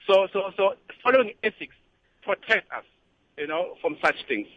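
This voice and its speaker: Male caller, South African accent, fairly high pitch, halting repetitions, conversational opinion, dull lo-fi line. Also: expressive, no room sound. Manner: halting, repeats words while making his argument. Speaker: phone-in caller. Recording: dull band-limited call-in line.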